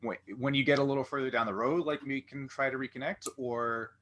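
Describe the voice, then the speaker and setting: A man's voice: mid-range voice, steady tone, fairly quick, a bit bright. A man hosting a conversational interview, feeling his way through questions with tentative, circling phrasing. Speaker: interview host.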